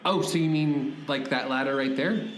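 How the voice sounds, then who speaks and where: A man playing a goofy, loyal, slow-witted sidekick in a comic radio play, with playful melodrama. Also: a little high, noisy background, lightly acted. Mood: melodramatic, dim. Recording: roomy echo.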